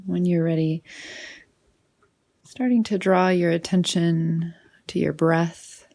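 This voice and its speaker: A woman leading a guided meditation in a soothing, unhurried manner, often trailing off into breathy softness. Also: fairly low pitch, steady tone.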